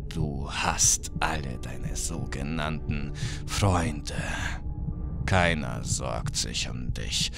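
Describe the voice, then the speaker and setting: A sinister little man with a smeared face, as a woman narrator puts him on, speaks in an extremely deep, pitched-down voice. He delivers slow, cold, menacing taunts, and music plays clearly behind him. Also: heavily acted.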